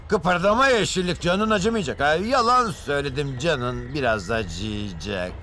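Wildly swooping, taunting creature chef. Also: male voice, fairly high pitch, a little slow, a little hiss or hum. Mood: gloating. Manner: taunting, excitable. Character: bragging, cruel fiery creature chef with a skewer. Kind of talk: Turkish cartoon dub acting.

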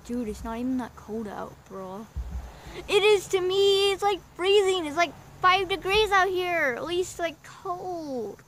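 A woman's voice: very high voice, expressive, measured pace, a bit bright, a little hiss or hum, heavily acted. A woman voicing a jumpy male plumber plush who complains and scares easily. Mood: whiny. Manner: whiny, easily spooked.

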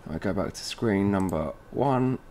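A man presenting an informal software tutorial, casually thinking aloud as he goes step by step. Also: lowish voice, lively intonation, unhurried. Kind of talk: informal screen-recorded software walkthrough. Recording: noisy room with keyboard typing.

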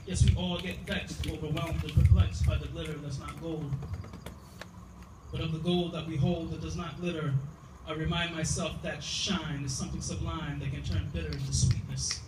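A man's voice: fairly high pitch, little pitch movement, normal speed. A man giving a spoken word poetry reading with a rhythmic, rhyming delivery. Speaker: poet. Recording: echoey room from far off, loud noise.

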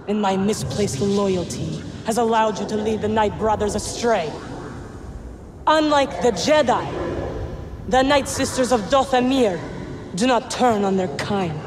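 Slow, imperious vengeful witch played by an actress. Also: mid-range voice, lightly acted. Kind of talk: dramatic video game acting. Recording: long reverb, loud ambient noise.

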